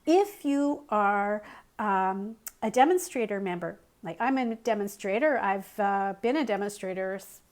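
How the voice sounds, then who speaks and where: A woman's voice: mid-range voice, lively intonation, unhurried. A middle-aged woman hosting a casual live crafting tutorial, chatty and friendly, with frequent ums, her voice a little strained. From Canada. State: slightly losing her voice.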